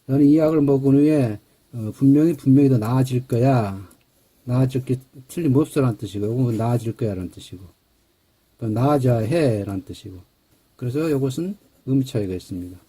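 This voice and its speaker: Mellow, husky-voiced male grammar lecturer. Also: medium pitch. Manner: explains step by step, reads English example sentences slowly.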